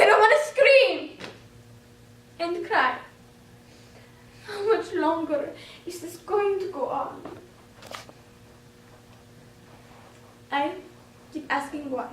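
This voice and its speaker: Woman acting a high-voiced, frightened girl in wartime. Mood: anguished. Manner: emotive, deliberate, theatrical delivery. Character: frightened, anguished young girl diarist in wartime. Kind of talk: dramatic monologue performance. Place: indoor room, recorded from a distance. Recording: roomy echo, distant microphone.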